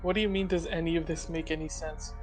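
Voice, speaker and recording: A man playing a tabletop game, talking casually in character with a dry, deadpan manner. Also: on the high side, fast talker, faint music behind.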